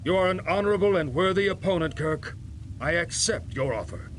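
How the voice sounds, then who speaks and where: An actor playing a proud alien pirate leader in a video game, with formal, grandiose speech. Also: male voice, sits high, expressive, fairly quick. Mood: conceding. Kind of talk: voiced game dialogue.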